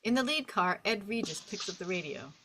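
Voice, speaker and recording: A woman narrating the stage directions at a script table read in an expressive storytelling manner. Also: medium pitch, a little fast. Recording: faint background noise and clicks.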